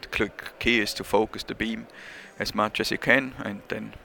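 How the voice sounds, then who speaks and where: A man's voice: fairly low pitch, fairly even intonation, normal speed, gravelly, bright, forceful tone, slight background noise. A man with a Swiss accent, not a native English speaker, being interviewed about his product at a trade show and talking in a hesitant way, with many fillers and restarts. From Switzerland. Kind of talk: casual interview.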